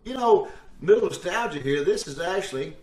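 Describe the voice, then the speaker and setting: A man's voice: sits high, expressive, brisk, a little mellow. A man co-hosting a community theater troupe's casual group chat over a video call. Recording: roomy, distant video call audio.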